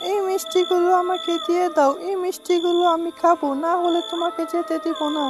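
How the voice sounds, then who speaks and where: An actor voicing Lullu, a greedy, mischievous ghost in a cartoon who loves sweets and mutters to himself. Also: male voice, level tone, fairly quick. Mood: menacing. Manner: mutters to himself in low tones, then threatens loudly. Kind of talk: cartoon voice acting. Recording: loud background music.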